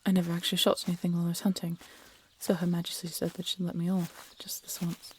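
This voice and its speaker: A woman's voice: lowish voice, monotone, fast, a little hiss or hum, close to an everyday voice. A woman playing a guarded, defiant peasant huntress in a fantasy audio drama, casual and sardonic. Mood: wry, guarded. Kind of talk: fantasy audio roleplay acting.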